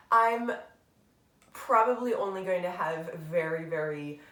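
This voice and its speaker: A woman's voice: lowish voice, recorded from a distance. A woman hosting her book channel, chatting casually and reflectively, rambling with fillers and poking fun at herself. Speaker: booktube channel host. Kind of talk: casual chat answering a tag. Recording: roomy echo, voice away from the mic.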